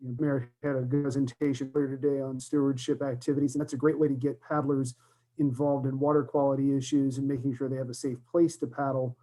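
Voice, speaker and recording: A man's voice: mid-range voice, flat delivery, quick pace, warm tone, rough recording. A man presenting at a virtual conference, explaining things with frequent fillers. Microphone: computer mic on a video call.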